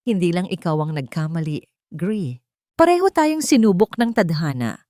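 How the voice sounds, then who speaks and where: A woman voicing a gentle, forgiving young wife and mother in a romantic audio story, affectionate in manner. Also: lowish voice, sing-song, normal speed, no echo, clean studio sound. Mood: tender, forgiving.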